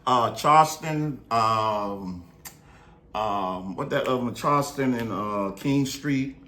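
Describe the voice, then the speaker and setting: A middle-aged father from Carolina, telling family stories in a slow, easygoing, preacherly way. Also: medium pitch, bright, forceful tone. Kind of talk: casual chat. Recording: echoey room, faint noise.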